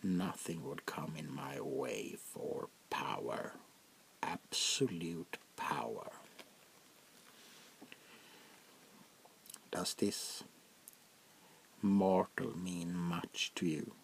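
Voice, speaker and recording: Man acting a slow, gravelly, very deep vampire prince. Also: slight background noise, full character voice. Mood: cold, menacing. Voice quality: gravelly, breathy, often dropping into whispers. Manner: slow, haughty, deliberate with dramatic pauses. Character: arrogant, power-hungry male vampire prince, coldly menacing. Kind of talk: soft-spoken ASMR roleplay.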